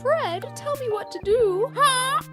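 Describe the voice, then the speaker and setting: Very squeaky timid mother swan. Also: expressive, takes it slowly, over music, full character voice. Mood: nervous. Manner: hesitant, pleading, gulping, easily flustered. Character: timid, anxious mother swan, fretful and eager to please. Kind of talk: audio drama cartoon-style character acting.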